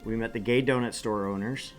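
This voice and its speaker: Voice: medium pitch, fairly even intonation, a little fast, over music. Rambling middle-aged stream host. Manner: rambling, filler-heavy, self-deprecating. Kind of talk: casual stream host talk.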